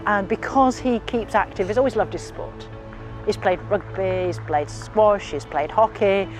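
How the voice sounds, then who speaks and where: An older woman, there to support her husband, answering interview questions in a brisk, enthusiastic way. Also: mid-range voice, faint music behind, poor audio. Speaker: supporting spouse.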